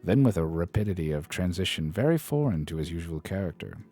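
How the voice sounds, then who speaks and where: Deep-voiced man reading aloud on stream. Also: very animated, fast, warm tone. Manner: dramatic narration with self-deprecating asides. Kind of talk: expressive book reading on a live stream. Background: faint study music.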